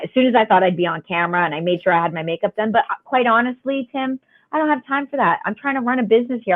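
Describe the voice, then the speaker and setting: A woman guest on a podcast with a raspy voice, telling her story frankly and chattily over a remote call. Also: mid-range voice. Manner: frank, chatty, laughs often. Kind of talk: candid conversational storytelling. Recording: tinny phone-line sound.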